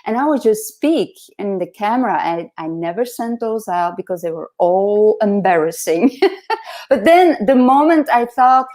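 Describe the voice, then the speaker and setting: A soft-spoken woman hosting a casual interview over a video call, earnest and reflective, laughing often. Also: mid-range voice, animated, moderate pace. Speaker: host.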